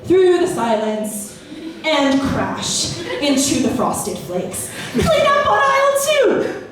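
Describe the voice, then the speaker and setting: Young American spoken word poet, high voice, playful and wry, live in a small echoey bar, mic at a distance. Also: female voice, big pitch swings, slow pace, very noisy. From Austin, Texas, USA. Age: young adult. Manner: playful, wry, with sudden pitch jumps and laughs. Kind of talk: spoken word poetry performance. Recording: echoey bar room, voice from far off.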